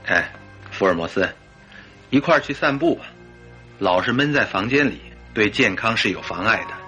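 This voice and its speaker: An actor playing a concerned, good-humored gentleman doctor who gently chides, with a husky voice, in a radio drama. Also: lowish voice, animated, moderate pace, faint music behind. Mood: coaxing. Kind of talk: Chinese radio drama acting.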